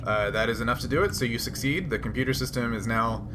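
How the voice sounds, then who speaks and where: A man's voice: mid-range voice, quick pace. Man game master over background music. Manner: conversational, explanatory. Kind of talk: running a tabletop role-playing game, explaining rules and narrating.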